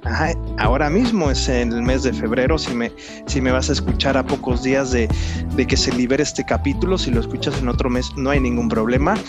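A man's voice: medium pitch, brisk. A man with a Mexican accent hosting a podcast in a chatty, rambling way, drawing out words for emphasis. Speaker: podcast host. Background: background music.

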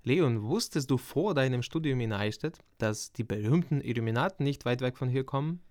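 Young guy cohosting, dry teasing jokes, mid pitch, close dry sound. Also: lively intonation, fairly quick, a little mellow, no echo. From Ukraine. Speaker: podcast co-host, journalism student. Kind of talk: scripted intro and casual podcast chat.

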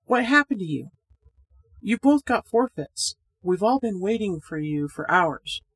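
A woman's voice: medium pitch, animated, unhurried, husky, low-quality recording. A woman voicing a brash young male party guest in a ghost story. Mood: calling out. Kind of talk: ghost story character acting.

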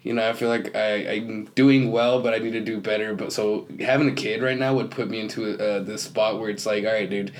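A man's voice: lowish voice, fairly even intonation, fairly quick, few pauses. A man in his twenties chatting casually as a podcast host, informal and prone to swearing. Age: 20s. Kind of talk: casual chat.

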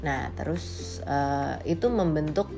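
A woman's voice: low voice, slow pace, creaky, music in the background. A woman in her late twenties from Indonesia giving a casual, candid monologue, blunt and slangy, with English phrases mixed in. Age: late 20s.

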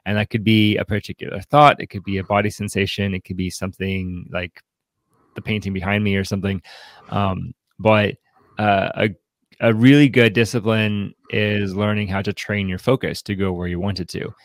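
A man hosting a podcast, discussing in a casual way, haltingly, often repeating words and saying "like". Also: on the low side, fairly even intonation, no echo. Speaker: podcast host.

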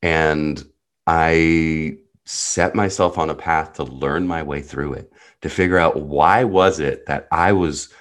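A man's voice: rumbling low, fairly even intonation, slow pace. A man, a native Hebrew speaker who grew up in the US, telling his life story as a podcast guest in an earnest, reflective and blunt way. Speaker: podcast guest, personal guide. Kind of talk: candid personal storytelling.

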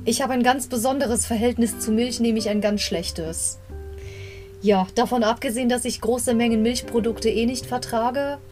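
A woman's voice: fairly high pitch, fairly even intonation, moderate pace, darkish tone. A young woman casually retelling and commenting on an audio drama episode, chatty and prone to side stories and self-corrections. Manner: chatty, rambling asides, corrects herself mid-story. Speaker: podcast host reviewing an audio drama episode. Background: faint guitar music.

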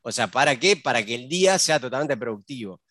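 A man's voice: mid-range voice, animated, brisk, fairly bright tone, dry recording, studio quality. A man from Uruguay with a Uruguayan accent, a business consultant chatting casually as a guest and peppering his talk with fillers and tag questions. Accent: Uruguayan Spanish. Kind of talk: casual conversational interview.

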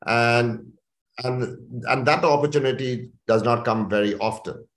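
A man's voice: mid-range voice, measured pace. A man from India speaking conversationally and enthusiastically as a chief executive being interviewed, often repeating phrases for emphasis. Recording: echoey room.

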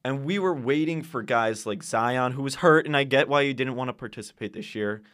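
A young man hosting a casual sports podcast, introducing segments in a measured way, with a touch of sarcasm. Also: medium pitch, a bit bright. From Arizona. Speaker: podcast host.

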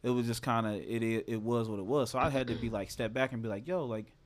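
A man's voice: mid-range voice, fairly even intonation, fast talker. A man in his twenties talking casually and candidly, swearing freely and often asking "you know what I mean?". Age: 20s. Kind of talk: casual chat.

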